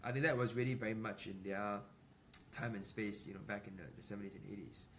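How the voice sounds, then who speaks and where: Fortyish guy from singapore, low voice, kinda far off the mic, faint hum behind, lots of you-knows. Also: fairly even intonation, fairly bright tone. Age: 40s. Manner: measured, lots of fillers and restarts. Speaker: business executive guest. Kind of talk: podcast interview. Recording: distant mic with faint ambient noise.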